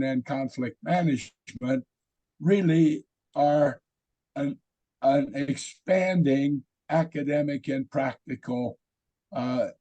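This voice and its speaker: A hoarse man with a raspy voice giving a webinar presentation over a video call, halting with many fillers. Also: a little high, warm tone. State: hoarse from illness.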